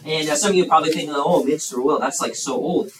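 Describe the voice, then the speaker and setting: Man in his thirties, preacher, chatty folksy sermon, slightly high pitch, roomy echo, faint hiss. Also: quick pace, a bit bright. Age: 30s. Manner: folksy, chatty, many fillers and restarts. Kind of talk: youth sermon with audience questions. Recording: echoey room, mic far off, faint hiss.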